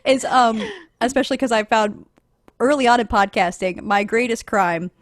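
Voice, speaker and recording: A woman's voice: fairly high pitch, normal speed, dry recording. Giggly, self-deprecating woman podcaster, dry sound. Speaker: podcaster on a panel. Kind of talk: casual panel talk.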